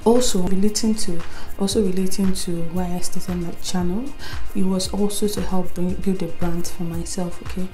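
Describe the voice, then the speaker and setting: A woman's voice: fairly low pitch, moderate pace, soft background music. A woman in her twenties with a Nigerian accent, talking casually to camera in a get-to-know-me vlog, often adding "okay?" and "right?". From Imo State, Nigeria. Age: 20s. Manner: chatty, animated hand gestures, tag questions like "okay?" and "right?". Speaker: vlogger. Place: indoor room before a plain wall.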